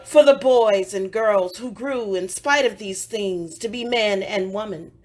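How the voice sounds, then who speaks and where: A woman reciting poetry in a solemn, dramatic style, with deliberate, measured phrasing and pauses between short phrases. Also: medium pitch, a little mellow. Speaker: poetry reader. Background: African drum music.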